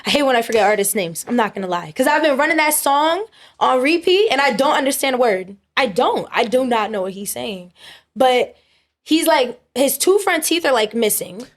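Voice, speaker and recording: A young woman with a high-pitched voice, a singer-songwriter talking casually as an interview guest, relaxed, full of fillers and quick to laugh.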